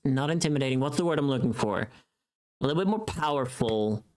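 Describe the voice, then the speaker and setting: Casting: mid-pitched livestreamer voice, chatty and informal, thinking aloud during a casual work stream. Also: animated, brisk, darkish tone. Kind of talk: casual livestream chat while working.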